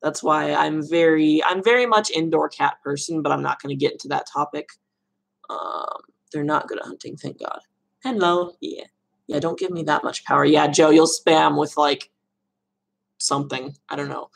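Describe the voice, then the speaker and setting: Young woman with a really low voice, bit of fry, rambling casually on a home livestream, laughs a lot. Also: steady tone, normal speed. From Arizona, USA. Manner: chatty, rambling, laughs often. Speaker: livestream host answering viewer questions. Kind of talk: casual live Q&A chat. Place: home room.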